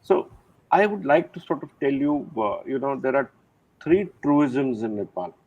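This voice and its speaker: Older general on a thin call line. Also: male voice, medium pitch, animated, a little mellow. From India. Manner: measured, reflective, many "uh" pauses. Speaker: retired army general, guest. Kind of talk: interview conversation. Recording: thin phone-like line, dry.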